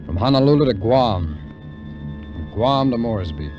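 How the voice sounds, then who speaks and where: An actor playing a weary, hard-boiled American adventurer in a 1950s radio drama, telling the story in terse, wry phrases. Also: male voice, mid-range voice, expressive, moderate pace, lightly acted. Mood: brooding, tense. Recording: muffled vintage radio recording with music.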